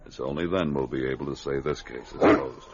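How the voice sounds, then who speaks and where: A man playing a stalwart Mountie sergeant in an old radio adventure serial, earnest, measured and authoritative. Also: very deep voice, steady tone, heavy background noise. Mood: grave, resolute. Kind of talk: 1940s-50s radio adventure acting. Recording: dull lo-fi vintage radio recording.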